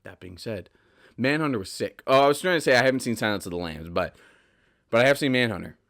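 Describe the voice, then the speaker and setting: A man's voice: medium pitch, lively intonation, quick pace, fairly bright tone. Casual, rambling young man, slightly stuffy nose. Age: 20s. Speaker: podcast host. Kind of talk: casual rambling film talk, solo monologue.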